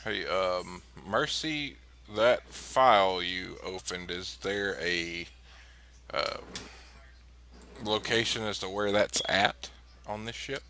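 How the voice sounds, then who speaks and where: A man with a lowish but bright voice talks through his next moves in a tabletop game. He speaks plainly and practically, with a faint room hum behind him. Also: slow pace. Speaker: player. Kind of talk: casual game chat.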